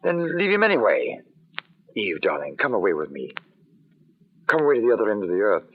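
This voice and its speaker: Ardent gentleman in dramatic old-time radio. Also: fairly low pitch, wildly swooping pitch, fairly quick. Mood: ardent pleading. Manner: earnest, formal, theatrical phrasing. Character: ardent, anxious gentleman living by a forest. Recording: dull, lo-fi old radio recording.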